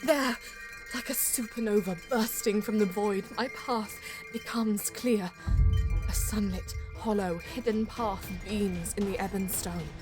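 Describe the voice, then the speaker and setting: A woman's voice: mid-range voice, steady tone, a little slow, natural voice. Intense elven seer, played by a woman, over music. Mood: awed. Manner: solemn, theatrical, urgent. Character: mystical female alien elf seer, intense and driven. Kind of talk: dramatic first-person audio drama narration and dialogue.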